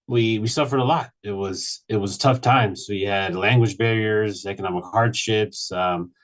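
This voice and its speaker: A man in his late thirties, from Mexico, telling his personal story on a panel in an earnest, halting way, with many restarts. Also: lowish voice. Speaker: panel speaker. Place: virtual event.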